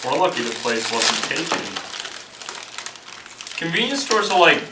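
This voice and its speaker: A woman's voice: low voice, big pitch swings, a little slow. A woman playing a stubborn, trigger-happy, wisecracking zombie survivor in an amateur stage play. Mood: smug, deadpan. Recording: distant echoey stage recording with noise.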